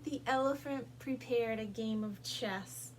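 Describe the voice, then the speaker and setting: Unhurried woman reading a children's picture book. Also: fairly high pitch, noisy background. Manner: deliberate, expressive phrasing with pauses for young listeners. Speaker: storytime reader at a public library.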